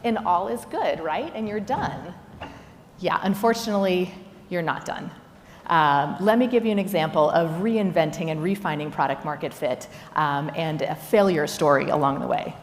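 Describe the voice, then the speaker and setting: A woman with a slightly low voice delivers a business conference talk in an instructive, emphatic style. She puts rhetorical questions to her listeners. The sound rings with the reverb of a large hall and has clear background noise. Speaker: chief executive giving a conference talk. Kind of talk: prepared business keynote to an audience. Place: large indoor talk venue.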